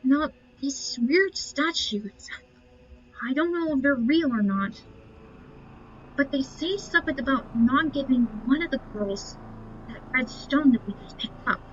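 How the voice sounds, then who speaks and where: A woman's voice: sits high, lively intonation, unhurried, a little hiss or hum. A woman giving casual commentary as she plays through a video game. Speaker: gamer presenting a playthrough. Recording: dull lo-fi mic.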